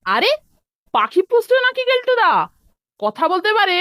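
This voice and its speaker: A woman voicing a small, cheeky, excitable schoolboy in a cartoon, chatty and full of exclamations. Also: extremely high pitch, moderate pace, no room sound, studio quality, heavily acted. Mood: curious. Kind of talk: cartoon voice acting.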